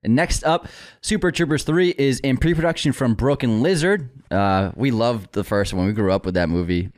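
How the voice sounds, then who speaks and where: A man co-hosting a movie news podcast, winding through long casual turns with restarts. His voice sits at an ordinary middle pitch. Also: normal speed. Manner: rambling, full of restarts and references. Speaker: podcast co-host.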